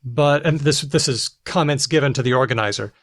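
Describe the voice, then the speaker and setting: A man's voice: medium pitch, fairly quick, dry recording, studio quality. An American man hosting a conversational podcast interview, speaking in a reflective, anecdotal way. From United States. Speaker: podcast host and linguist.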